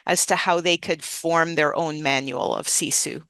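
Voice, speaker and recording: A woman hosting an interview, a physician who asks long, curious questions and frames them gently for listeners. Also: sits low, steady tone, moderate pace, no echo. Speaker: podcast host and physician. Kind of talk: conversational interviewing.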